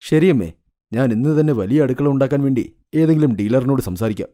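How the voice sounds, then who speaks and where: An actor playing a practical, reassuring husband and son, calm and matter-of-fact, in a cartoon moral story. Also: male voice, mid-range voice, fairly quick, lightly acted. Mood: agreeable.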